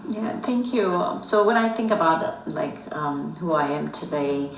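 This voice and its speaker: A middle-aged woman from Afghanistan, a native Dari speaker, answering interview questions in a thoughtful way with many pauses and fillers. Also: sits low, expressive. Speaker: guest. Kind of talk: conversational interview. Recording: echoey room, distant mic, music behind.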